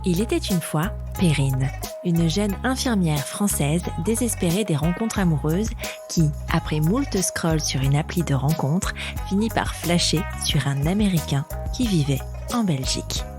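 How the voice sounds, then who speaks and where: A woman's voice: lowish voice, expressive, unhurried, music in the background. A woman hosting a podcast, speaking informally and directly in an interview show style. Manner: informal, addresses listener and guest familiarly. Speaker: podcast host. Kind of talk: podcast introduction and conversational interview.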